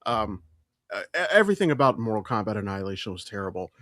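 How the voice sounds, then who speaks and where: A man's voice: on the low side, sing-song, fast talker, pushed and bright, dry recording, studio quality. A man co-hosting a podcast in casual pop-culture chat, emphatic and opinionated, swearing freely. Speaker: podcast co-host.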